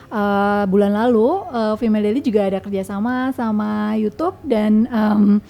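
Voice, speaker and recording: A woman's voice: medium pitch, fairly even intonation, moderate pace, dark tone. A woman with an Indonesian accent chatting casually and informally as a guest, dropping in English words. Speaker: guest sharing experiences and tips.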